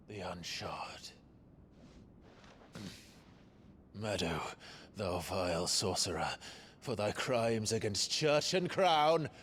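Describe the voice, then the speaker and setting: An actor playing an earnest, noble young warrior with a formal manner in a video game drama. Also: male voice, fairly high pitch, fairly bright tone, slight background noise, heavily acted. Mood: mock-heroic declaiming. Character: earnest, noble warrior nephew, long thought dead.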